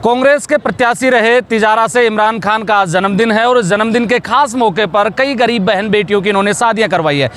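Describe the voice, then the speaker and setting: Male field interviewer, rapid questions, faint outdoor traffic noise behind. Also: high voice, fairly bright tone. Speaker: reporter, interviewer.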